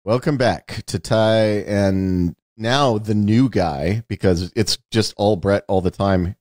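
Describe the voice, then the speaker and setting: A man's voice: fairly low pitch, slow pace, darkish tone, no echo. A middle-aged American man hosting a casual podcast, talking in a rambling, dry, casually sweary way. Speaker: podcast host; screenwriter and author.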